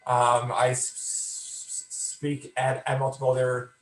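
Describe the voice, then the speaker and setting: A man in his thirties speaks slowly and haltingly, stuttering through repeated words and blocked sounds. His pitch stays flat and even. The room around him is echoey. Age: 30s. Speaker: panelist. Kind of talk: conference panel introduction.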